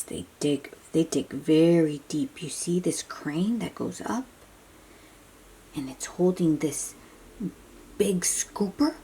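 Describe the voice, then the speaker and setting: A woman reads aloud to little children at a very unhurried pace, with a lot of rise and fall in her delivery. Her voice is soft-edged and mellow, and she explains things in simple phrases, often asking the listener a question and then answering it. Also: fairly low pitch, slightly rough. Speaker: children's picture book read-aloud host.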